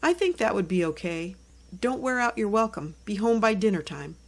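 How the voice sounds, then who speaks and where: A woman's voice: medium pitch, expressive, normal speed. A woman voicing a caring, sensible mother in a children's storybook, practical and motherly in delivery. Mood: agreeable, practical.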